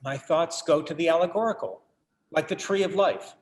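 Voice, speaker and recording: Guy playing disillusioned collector in a play reading, kinda high voice, wry earnest monologue style. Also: moderate pace, lightly acted. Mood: wistful. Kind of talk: theatrical monologue in a staged reading.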